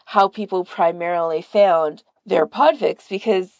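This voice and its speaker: A woman's voice: fairly low pitch, unhurried, darkish tone, no room sound. A woman from Canada chatting casually as a podcast host, rambling and peppering her talk with "like". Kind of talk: casual chat.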